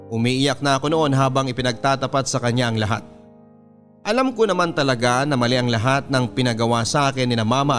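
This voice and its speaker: Male storyteller reading a scripted letter. Medium-pitched voice, clear music bed underneath. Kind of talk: scripted first-person story narration.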